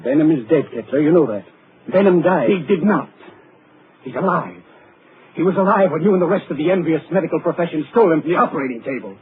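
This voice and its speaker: An actor playing a tired, patient asylum doctor who speaks calmly and soothingly in a 1940s radio drama. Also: male voice, a little high, quick pace, close to an everyday voice. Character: weary middle-aged male asylum doctor, patient and firm. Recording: muffled lo-fi 1940s radio broadcast with hiss.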